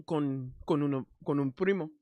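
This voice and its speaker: A Haitian man speaking Spanish as a learner, chatting casually on a stream, halting and correcting himself often. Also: on the high side, no room sound, narrow, tinny audio. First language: not Spanish. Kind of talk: casual chat.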